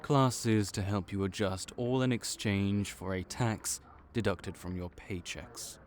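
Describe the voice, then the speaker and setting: A man's voice: fairly low pitch, steady tone, takes it slowly, a little hiss or hum. A man voicing a male vampire intake officer in an audio roleplay, matter-of-fact and wry as he walks through the paperwork.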